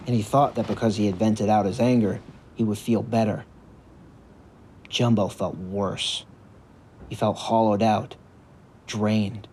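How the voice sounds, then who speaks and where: A man's voice: fairly low pitch, darkish tone, slight background noise. A man reading a scripted story narration as the storyteller of an audio drama. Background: music.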